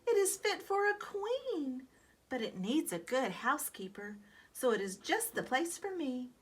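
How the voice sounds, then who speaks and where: A woman's voice: high voice, very animated, a little slow, slightly rough, pitched above the performer's own voice, exaggerated voice. A woman voicing a cheerful, house-proud little female squirrel from a storybook, in a singsong, genteel way. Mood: delighted. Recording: background noise from reading outdoors.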